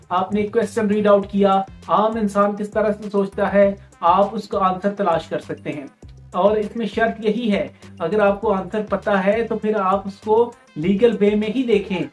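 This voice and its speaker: A woman's voice: mid-range voice, a little mellow. Pakistani woman tutoring, music behind. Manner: explanatory, repeats key points, mixes in English terms. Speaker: law exam tutor. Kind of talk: instructional exam-preparation talk.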